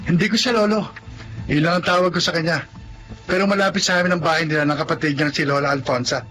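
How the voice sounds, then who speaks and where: A good-natured young Filipino friend in a radio drama. He speaks casually in a moderately high voice, with soft music underneath. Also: fast. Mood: concerned. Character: cheerful, kindhearted young male neighbor friend.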